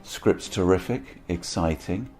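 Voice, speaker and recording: A man discusses his acting in a relaxed interview. His voice is very low and he phrases things thoughtfully and a little hesitantly, with quiet music behind. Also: slow pace. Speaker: actor being interviewed. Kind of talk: casual behind-the-scenes interview.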